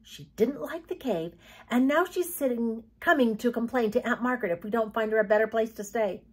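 A woman's voice: fairly high pitch, a little fast, a little mellow, slightly rough. A woman voicing an anxious, earnest young girl with an urgent, pleading way of talking.

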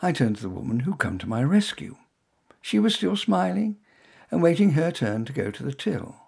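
A man reading his own short story aloud as first-person narration in a wry, expressive manner. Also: a little high, moderate pace, gravelly, slightly rough.

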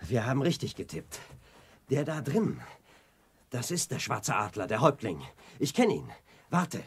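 Lively, bold young hero. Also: male voice, medium pitch, measured pace, exaggerated voice. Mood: hushed, alert. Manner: earnest, decisive, clearly enunciated. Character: bold, determined young male sci-fi adventure hero. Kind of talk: 1980s German radio play acting.

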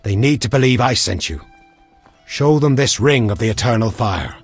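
An actor playing a stern, zealous old priest of a fire cult in a fantasy game, solemn and preachy. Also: male voice, medium pitch, lively intonation, moderate pace, soft background music, close to an everyday voice. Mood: grave. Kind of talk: fantasy video game dialogue.

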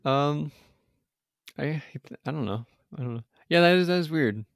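A man's voice: fairly high pitch, expressive, moderate pace, creaky, dry recording, clean studio sound. A man co-hosting a podcast, chatting casually through an episode recap with lots of hesitant restarts. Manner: rambling, hesitant, many restarts. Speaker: podcast co-host.

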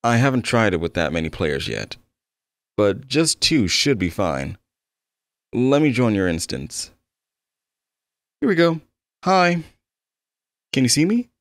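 A man's voice: mid-range voice, very animated, slow pace, long pauses, no room sound, studio quality. A man playing an eager, slightly awkward game developer, speaking conversationally and attentively to the listener in an ASMR-style roleplay. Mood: excited, friendly. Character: eager, soft-spoken obsessive male game developer. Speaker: roleplay voice actor.

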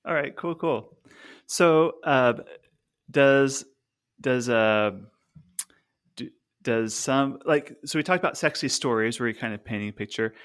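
A man's voice: medium pitch, unhurried, pauses often. A man in his thirties co-hosting a podcast over a remote call, asking questions in an easygoing way and backing his partner with frequent "uh-huh"s. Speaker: podcast co-host. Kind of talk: casual interview chat. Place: small room at home. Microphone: studio mic on stand, remote call.

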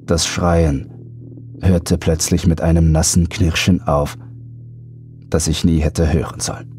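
Deep-voiced man narrating horror. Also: flat delivery, unhurried, a little mellow, soft background music. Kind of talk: dramatic scripted first-person narration.

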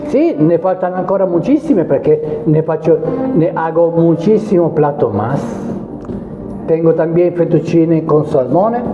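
A middle-aged Italian man, a chef, talking through a casual interview in halting Spanish mixed with Italian, with frequent hesitations. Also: fairly high pitch. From Italy. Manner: mixes Italian into hesitant Spanish, lively hand gestures. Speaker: chef and restaurant owner. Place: small restaurant dining room, indoors. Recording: echoey room, phone-like sound, background music.

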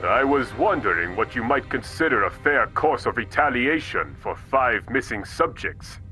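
An actor playing a cold, condescending male corporate villain who makes quiet threats, in an audio drama. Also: mid-range voice, animated, normal speed, faint music behind, low-quality recording. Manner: smooth, condescending, coolly threatening.

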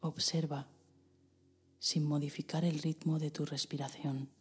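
Slow, husky-voiced woman guiding meditation. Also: low voice, flat delivery, in a large hall. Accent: Spain Spanish. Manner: slow, soft, breathy delivery with whispered passages. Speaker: meditation guide. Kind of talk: guided meditation.

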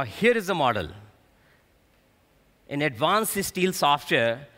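Bright-voiced Indian-accented man presenting in a hall. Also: on the high side. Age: middle-aged. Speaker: technical director presenting at a conference. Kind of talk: technical conference presentation. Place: stage of a large conference hall. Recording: echoey large hall PA sound.

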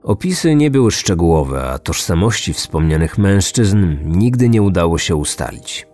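Guy narrating a true crime podcast, lowish voice, bit of music underneath. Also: very animated, normal speed, mellow. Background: background music.

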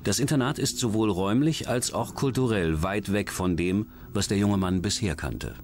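A man's voice: on the low side, moderate pace, a little mellow, close to an everyday voice. A man reading scripted true-crime documentary narration as the narrator. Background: background music.

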